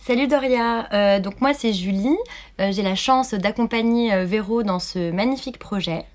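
A woman's voice: mid-range voice, moderate pace. Explanatory woman in her thirties. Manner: explanatory, filler-heavy. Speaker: marketing lead, guest. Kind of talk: casual interview explaining.

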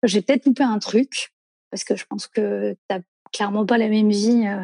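Thirties woman from France, mellow tone, chatty and meandering, dry room. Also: medium pitch, fairly even intonation, moderate pace, gravelly, no room sound. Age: 30s. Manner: rambling, many fillers and self-corrections. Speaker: guest. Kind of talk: casual conversational interview.

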